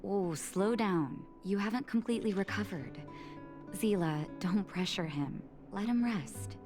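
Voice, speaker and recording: An actress playing a gentle, caring healer in a video game, speaking in a soothing, unhurried way as a calm peacemaker. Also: female voice, mid-range voice, lightly acted. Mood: calm concern. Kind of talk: video game character dialogue. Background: guitar music.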